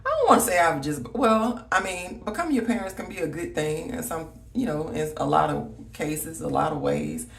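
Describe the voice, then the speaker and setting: Gravelly-voiced Southern woman chatting. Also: deep voice, big pitch swings, normal speed, few pauses, recorded from a distance. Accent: Southern US (Alabama). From Alabama, US South. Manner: chatty, rambling, talks straight to viewers. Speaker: vlogger. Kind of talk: casual reminiscing chat to viewers. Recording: roomy echo, voice slightly off-mic.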